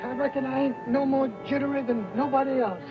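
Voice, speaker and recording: A man's voice: extremely high pitch, steady tone, fairly quick. An actor playing a jittery, pleading young frontier boy in a radio western. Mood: nervous, pleading. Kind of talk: old-time radio western acting. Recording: dull old radio recording, music behind.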